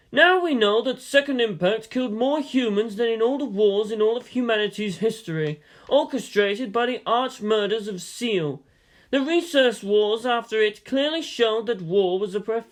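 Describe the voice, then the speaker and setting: A man voicing a grave, philosophical military teacher who lectures in measured rhetoric in a story reading. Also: high voice, pitched above the performer's own voice.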